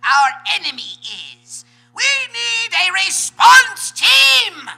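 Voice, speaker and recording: A man's voice: very high-pitched, sing-song, slow, creaky, sharp tone, faint music behind, heavily acted. A man voicing a shrieking megalomaniac cartoon alien as a spy director in a live comedic read. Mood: bossy, intense. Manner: theatrical, bursts into screamed declarations.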